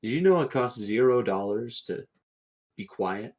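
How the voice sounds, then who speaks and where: A man's voice: medium pitch, lively intonation, moderate pace, a little mellow. Rambling, sardonic man in casual banter. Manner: rambling, self-correcting, sardonic. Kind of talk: casual joking banter among friends.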